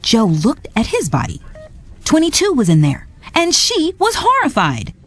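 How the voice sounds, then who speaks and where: Lively woman reading a storybook. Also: high-pitched, a little slow, slight background noise. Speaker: narrator. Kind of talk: storybook read-along narration. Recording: narration over soft music.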